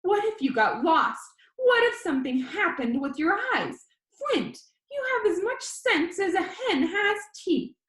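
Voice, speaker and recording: Woman voicing a shrill, scolding, worried grandma, echoey room. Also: very animated, fairly bright tone, full character voice. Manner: drawn-out, emphatic scolding. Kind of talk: storybook read-aloud.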